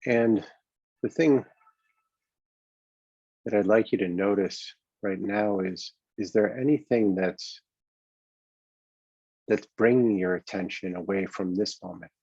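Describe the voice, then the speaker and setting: A man with a husky voice leads a guided meditation as a coach, speaking gently and conversationally, unhurried and halting, with long pauses. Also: fairly low pitch. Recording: dull, boxy, roomy lo-fi sound.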